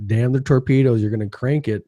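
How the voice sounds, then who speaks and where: A man's voice: mid-range voice, brisk, dark tone, no room sound. A man co-hosting a casual live chat show, quizzing his partner on a song countdown in a laid-back, chummy way.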